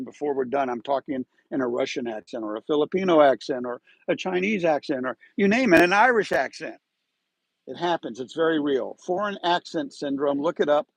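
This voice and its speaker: A man hosting a live stream from inside his parked car, giving a preachy, emphatic motivational talk with drawn-out pauses. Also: a little high, vocal fry, slightly rough, no room sound, poor audio. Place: parked car with window open on a drizzly day.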